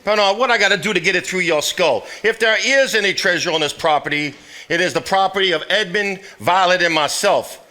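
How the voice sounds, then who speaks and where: Man acting blustering heir, high and bright. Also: brisk. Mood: irritated, blustering. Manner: folksy, blustering. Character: hot-headed, folksy Southern heir. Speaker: stage actor. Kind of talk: live stage drama.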